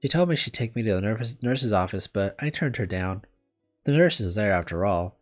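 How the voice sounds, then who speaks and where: Man reading as a glum, self-doubting young woman teacher, low-voiced. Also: lively intonation, moderate pace, lightly acted. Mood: glum, apologetic. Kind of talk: visual novel read aloud. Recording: background music under the voice.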